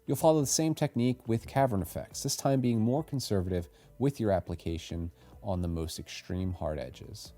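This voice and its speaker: A man reading a scripted how-to voice-over as a tutorial narrator, giving clear step-by-step instructions. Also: lowish voice, moderate pace, hardly any pauses, warm tone, faint music behind.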